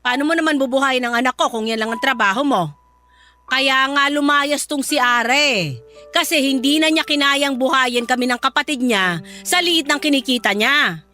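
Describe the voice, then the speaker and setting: A very high, forceful voice over music: a scornful Filipino mother in a radio drama. She is middle-aged, bitter and confrontational, hammering out her accusations. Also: moderate pace. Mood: cold, scornful. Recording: music behind the voice.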